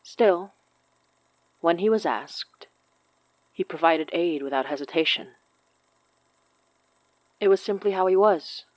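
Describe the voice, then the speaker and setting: A woman's voice: fairly low pitch, slow, pauses often, no room sound, rough recording. A woman hosting a fiction podcast, reading announcements and scripted story narration.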